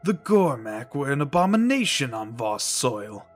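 A man narrating a scripted audio drama in a measured, dramatic way. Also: mid-range voice, very animated. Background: music.

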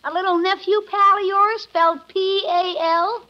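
A performer playing a cheeky, wisecracking young nephew in a 1950s radio sitcom. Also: female voice, very high voice, steady tone, moderate pace. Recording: muffled vintage radio recording.

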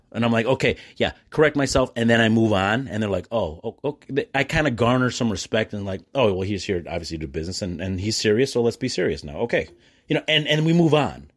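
A middle-aged man with an American accent and a fairly low voice talks casually on a solo podcast. He is chummy and animated, slipping into quoted exchanges as he tells his stories. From United States. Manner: animated, self-describedly chummy and jokey. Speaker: podcast host.

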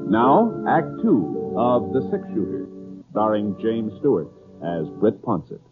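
Old time american radio announcer guy, really lively delivery, tinny broadcast. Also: fairly low pitch, slow, loud music behind the voice. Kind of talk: 1950s scripted radio announcing.

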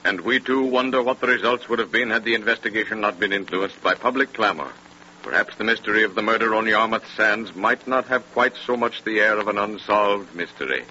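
A man's voice: fairly low pitch, brisk, bright, forceful tone. A man narrating as a formal, declamatory announcer in 1930s radio style. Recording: noisy, muffled 1930s radio recording.

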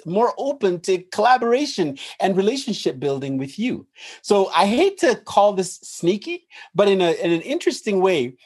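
A man from Nigeria, a podcast guest, explaining ideas in an animated way and laughing as he talks. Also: sits high, breathy edge. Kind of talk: casual interview talk.

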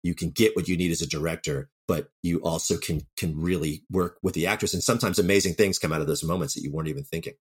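A man's voice: deep voice, steady tone, quick pace, few pauses, breathy edge. A man talking casually and enthusiastically as an interview guest, a writer and director who acts out bits of remembered dialogue.

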